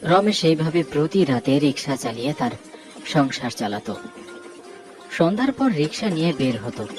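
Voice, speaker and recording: A man's voice: a little high, sing-song, normal speed. A man narrating a scripted horror story as its storyteller. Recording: prominent music bed under voice.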